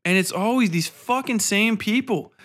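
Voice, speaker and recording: Male podcast host, slightly high voice, casual ranting delivery, profane and exasperated. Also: normal speed, fairly bright tone. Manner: profane, exasperated, blunt complaining.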